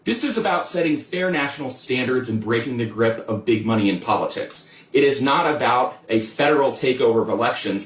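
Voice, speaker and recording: American male expert guest, mid-range voice, earnest and emphatic advocacy, echoey remote-interview sound. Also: mid-range voice.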